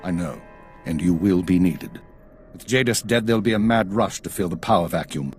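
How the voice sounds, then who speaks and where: An actor playing a stern, commanding intelligence chief in a sci-fi game, speaking with grave, clipped authority. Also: male voice, deep voice, normal speed, faint music behind. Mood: grim, weary. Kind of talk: video game voice acting.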